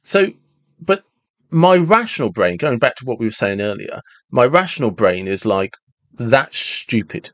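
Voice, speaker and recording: Forties male podcast host, animated, self-interrupting, dry close sound. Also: medium pitch, unhurried, no echo. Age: 40s. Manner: animated, restarts and repeats words when reasoning aloud. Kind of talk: casual conversation.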